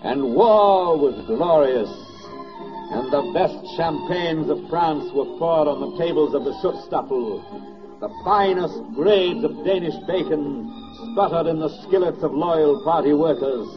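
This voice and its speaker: A man's voice: fairly high pitch, natural voice. Actor as a declamatory 1940s radio drama narrator, male. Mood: ironic grandeur. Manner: declamatory, ironic, measured. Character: grave, bitterly ironic wartime narrator. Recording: dull vintage 1940s radio recording, music behind. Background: music.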